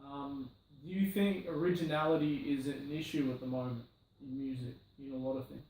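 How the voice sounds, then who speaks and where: A man's voice: medium pitch, fairly even intonation, brisk, darkish tone, slight background noise. Distant echoey male interviewer. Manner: conversational, asks long leading questions. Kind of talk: casual interview questions. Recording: far from the mic in a very echoey room.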